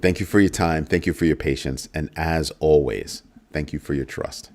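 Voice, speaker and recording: A man with a very low, deep voice hosts a casual crypto livestream. He is middle-aged and talks loosely to his viewers, repeating phrases and tossing in playful asides. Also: moderate pace, darkish tone. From United States. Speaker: crypto show host.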